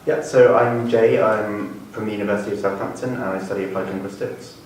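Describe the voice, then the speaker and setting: A man speaking as a panel guest in a quick, matter-of-fact way. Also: on the low side, fairly even intonation, a little mellow, slight background noise. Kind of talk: casual panel discussion. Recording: echoey room from far off.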